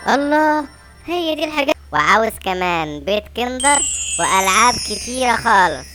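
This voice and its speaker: A woman's voice: fairly high pitch, sing-song, a little fast, music in the background. A woman voicing an eager little boy lion cub in a cartoon, with an Egyptian Arabic accent. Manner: eager, wide-eyed child.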